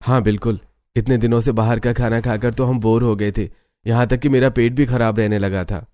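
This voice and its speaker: A man's voice: mid-range voice, fairly even intonation, a little fast, mellow, close to an everyday voice. A man playing a sheepish young husband in a cartoon, earnest and defensive in how he speaks. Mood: contented.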